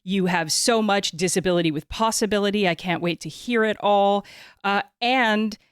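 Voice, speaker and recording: A woman's voice: medium pitch, normal speed, clear, resonant tone, no echo, studio quality. A woman hosting a podcast in a chatty, effusive, casual way. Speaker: podcast host.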